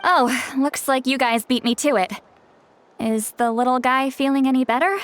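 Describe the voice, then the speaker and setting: A kindly female elder from a video game, acted by a woman, with a fairly high voice. She is calm and composed, choosing formal, measured phrases. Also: natural voice.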